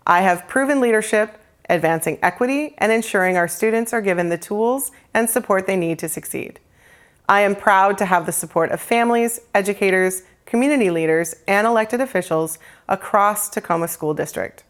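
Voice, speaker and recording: A woman's voice: mid-range voice, brisk. A woman delivering a prepared campaign statement as a school board candidate.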